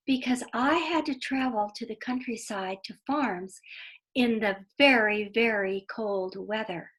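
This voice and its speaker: A woman reading a children's story aloud in a deliberate, explanatory way, with asides to young listeners. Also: a little high, fairly bright tone, poor audio.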